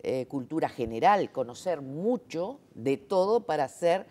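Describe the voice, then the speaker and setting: A woman explains her work in a relaxed interview. Her pitch moves animatedly as she stresses her points. She uses frequent fillers and rhetorical checks. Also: a little slow. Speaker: interviewee, sign language interpreter. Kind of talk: conversational interview.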